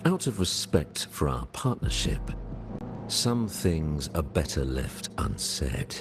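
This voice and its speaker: A man acting a grave, authoritarian lord in a video game cutscene, with formal, measured, commanding speech. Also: very deep voice, big pitch swings, full character voice. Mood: guarded. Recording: loud music behind. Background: music.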